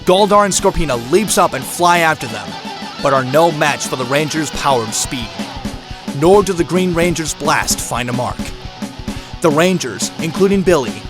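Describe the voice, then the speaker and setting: A man reading dramatic scripted narration for an audio drama. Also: a little high.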